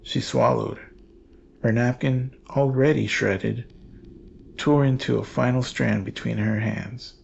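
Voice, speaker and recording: A man reading scripted horror narration in a grave, measured, ominous manner. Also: mid-range voice, fairly even intonation, gravelly, lo-fi sound, a little hiss or hum.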